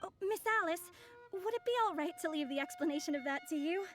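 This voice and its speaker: Gentle young female successor in a game: formal, courteous, extremely high register. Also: fast, faint music behind. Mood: polite, eager. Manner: formal, polite. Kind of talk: video game voice acting.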